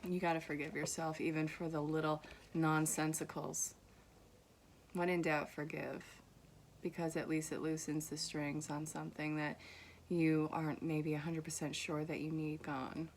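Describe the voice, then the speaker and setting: A young woman with a low voice and an accent that mixes soft Vermont with New York, talking straight to her viewers. She gives a casual, reassuring spiritual reading and teases gently as she reads card messages aloud. A faint hiss of room noise sits under her voice. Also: level tone, moderate pace. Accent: mixed Vermont and New York. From Vermont, USA. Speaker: tarot reader.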